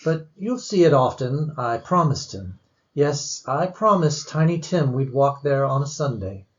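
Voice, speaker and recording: Man playing gentle, heartbroken father, muffled sound. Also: mid-range voice, animated, natural voice. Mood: weary tenderness. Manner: tender, earnest, halting. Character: gentle, heartbroken father mourning his little son. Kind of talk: old-fashioned theatrical radio drama acting.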